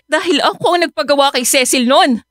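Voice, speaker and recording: High-voiced, hurt, reproachful wife. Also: female voice, brisk, fairly bright tone, no room sound, studio quality. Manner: confrontational, reproachful. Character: hurt, firm young mother confronting her husband. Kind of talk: radio drama acting.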